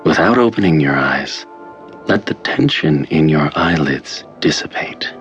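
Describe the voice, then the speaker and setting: Super low slow hypnosis tape man, calm n controlling, soothing, muffled lo-fi cassette sound. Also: exaggerated voice. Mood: soothing, hypnotic. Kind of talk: guided hypnosis instructional tape in an audio drama. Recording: dull lo-fi cassette-style sound. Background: faint music.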